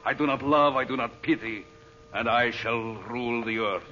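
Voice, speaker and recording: An actor playing an imperious, ruthless villain scientist bent on ruling the world, commanding and menacing in radio melodrama style. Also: male voice, medium pitch, normal speed, sharp tone, close to an everyday voice. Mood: arrogant. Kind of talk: radio serial melodrama acting. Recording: muffled, hissy old radio recording.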